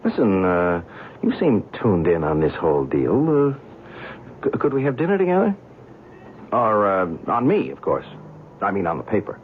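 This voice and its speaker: American male reporter, skeptical, breezy, lowish swooping voice, old radio play, thin noisy recording. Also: huge pitch swings, moderate pace, long pauses, narrow, tinny audio. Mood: probing. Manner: breezy, colloquial, incredulous. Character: brash, skeptical American newspaperman, wisecracking and casual. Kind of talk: old-time radio drama acting.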